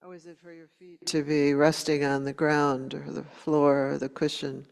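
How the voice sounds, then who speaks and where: A woman meditation teacher leading a guided meditation in a gentle, unhurried way, leaving long pauses between short phrases. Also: low-pitched, flat delivery, creaky. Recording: long hall reverb.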